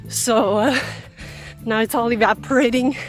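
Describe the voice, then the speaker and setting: Woman, Austrian accent, slightly high voice, chatty and halting, running outdoors, faint music. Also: steady tone. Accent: Austrian German. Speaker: runner guiding a trail tour. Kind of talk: casual walk-and-talk to camera. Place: outdoors, forest trails and park.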